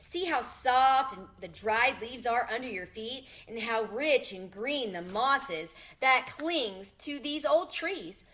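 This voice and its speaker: A woman's voice: a little high, expressive, takes it slowly, hardly any pauses, pushed and bright, pitched above the performer's own voice, full character voice. A woman voicing a brave, confident male lion in a storybook, with emphatic delivery. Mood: delighted.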